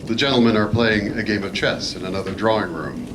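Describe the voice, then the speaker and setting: A man playing a calm, authoritative adventure hero in a radio play, measured, formal and dryly understated. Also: on the low side, close to an everyday voice. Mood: calm, commanding. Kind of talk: old-time radio play acting. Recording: noisy live convention stage.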